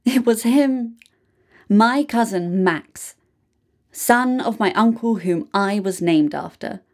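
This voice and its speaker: Female narrator, medium pitch, slow scripted storytelling with deliberate dramatic pauses. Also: animated, a little mellow. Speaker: reader of a written true story. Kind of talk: scripted first-person narration.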